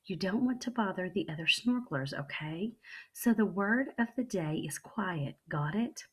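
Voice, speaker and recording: A woman voicing a calm father who talks softly and scolds gently. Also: medium pitch, normal speed, breathy edge. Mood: gentle.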